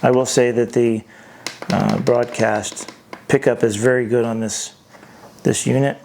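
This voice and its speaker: A man's voice: medium pitch, fairly even intonation, unhurried, creaky, darkish tone, slight background noise. A man giving an unscripted hands-on product review as host, thinking aloud in a hesitant way.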